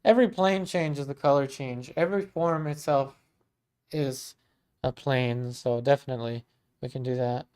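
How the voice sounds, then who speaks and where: A man's voice: mid-range voice, moderate pace, clean tone. A man in his thirties from Maryland, teaching painting on a casual livestream and chatting with viewers. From Maryland, USA. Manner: relaxed and chatty, many ums and restarts, warm thanks to viewers. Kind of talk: casual livestream chat, reading viewer comments.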